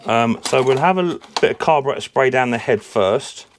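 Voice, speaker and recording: A man hosting a casual lawnmower repair vlog from his workshop, his voice croaky from a sore throat, chatty and rambling with lots of ums. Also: medium pitch, expressive, brisk, noisy background. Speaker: lawnmower repair channel host.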